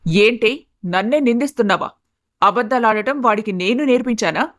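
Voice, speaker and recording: A woman acts out a middle-aged, status-obsessed mother-in-law from an animated melodrama. Her voice sits fairly high and drips with indignation and sarcasm as she scolds and accuses. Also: normal speed, lightly acted. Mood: indignant, defensive. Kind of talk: melodramatic animated family story acting.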